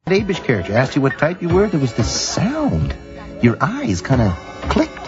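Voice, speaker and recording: An actor playing a brash, boozy film producer in a comic audio drama, breezy, slangy and wisecracking. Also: male voice, fairly high pitch, wildly swooping pitch, normal speed. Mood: breezy, chatty. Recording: dull lo-fi sound, music behind.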